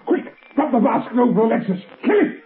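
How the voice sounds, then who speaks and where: Through a thin, tinny broadcast comes a vicious, excitable male criminal. His voice leaps up and down with agitated melodrama, in the manner of 1930s radio thrillers. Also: extremely high pitch, brisk, echoey room. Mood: frantic. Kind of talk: 1930s radio drama acting.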